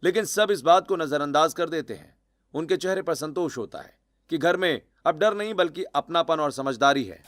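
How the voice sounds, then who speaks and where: Male narrator, higher-pitched, scripted family cartoon storytelling, music underneath. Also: expressive, normal speed, a bit bright.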